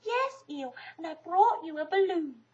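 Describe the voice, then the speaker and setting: A woman's voice: animated, unhurried. A woman voicing a small, timid piglet who stammers anxiously. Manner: timid, stammering, high-pitched. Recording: dull lo-fi recording.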